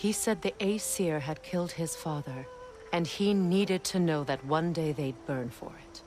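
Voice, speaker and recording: Weary proud norse goddess from a game, low voice, measured n solemn, bit of outdoor noise behind. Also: female voice, darkish tone. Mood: wry, measured. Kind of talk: dramatic video game acting.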